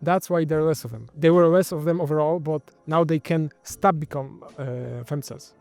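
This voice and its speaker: Man, Polish accent, slightly high voice, rambling commentary, faint music underneath. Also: normal speed, creaky, a little mellow. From Poland. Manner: rambling, self-correcting, wry. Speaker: channel host. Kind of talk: casual monologue commentary.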